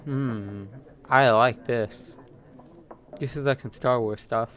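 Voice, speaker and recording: A man's voice: medium pitch, takes it slowly, fairly bright tone. A man hosting a gaming video with casual let's play commentary.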